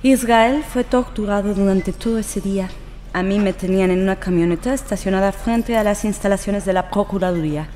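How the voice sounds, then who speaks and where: An actress playing a mistreated young French prisoner who gives earnest, measured testimony in an audio drama. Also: female voice, medium pitch, warm tone, soft background music, lightly acted. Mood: aggrieved, recounting.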